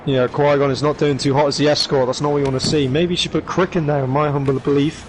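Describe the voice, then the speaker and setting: A man commentating an esports match play by play, hoarse from shouting, with quick excited calls. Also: on the high side, slight background noise. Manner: rapid excited calls with rising bursts. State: hoarse, losing his voice.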